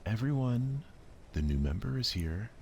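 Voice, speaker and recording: Give a man playing an energetic visual novel schoolgirl. She is cheerful and excitable, and her pitch swings widely as she greets and chatters. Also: a little slow, vocal fry, highs cut off, a little hiss or hum. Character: energetic, bubbly, friendly high school girl.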